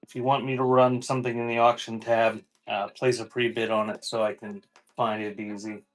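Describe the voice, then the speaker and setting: A man's voice: mid-range voice, little pitch movement, moderate pace, rough recording. Man hosting a casual livestream sale. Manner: informal, off-the-cuff announcing. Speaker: host of a live online auction selling cassette tapes. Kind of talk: casual livestream sales patter.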